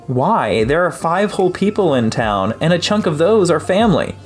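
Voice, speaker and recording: Narrator as a twenties dad, upbeat, joking, lifted pitch, audiobook dialogue, music behind. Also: big pitch swings, normal speed, lightly acted. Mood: protesting.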